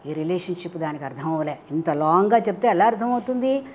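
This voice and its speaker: A woman telling a children's story in an animated way, often questioning her listeners and mixing in English phrases. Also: fairly low pitch, fast talker, slight background noise. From India.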